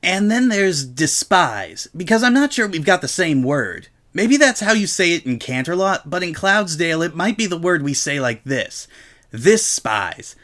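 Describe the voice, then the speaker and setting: A man's voice: fairly high pitch, big pitch swings, moderate pace, a bit bright, voice pushed up. A man voicing a brash female pegasus pony who rambles on with feigned cluelessness. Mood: eagerly obtuse.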